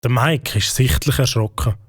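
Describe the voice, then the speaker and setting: A man with a Swiss German accent narrating a children's story calmly. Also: mid-range voice, moderate pace, a little hiss or hum.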